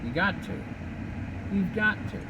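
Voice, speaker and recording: A man's voice: high voice, unhurried. A man from Delaware talking casually while he drives, joking with the drivers and cyclists around him. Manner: talks to other drivers and cyclists, lively and joking. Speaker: vlogger driving. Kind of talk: casual driving chat. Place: inside a car on the road. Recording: distant mic in a noisy car.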